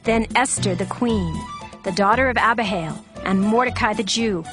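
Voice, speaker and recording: A woman's voice: medium pitch, moderate pace. Solemn woman narrating scripture over music. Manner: formal, solemn storytelling. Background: background music.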